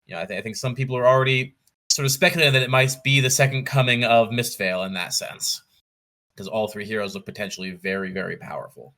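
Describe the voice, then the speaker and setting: A man talking casually as an interview guest, in a measured, analytical way, thinking aloud as he goes. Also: lowish voice, steady tone, bright, forceful tone. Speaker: podcast guest, competitive card game player.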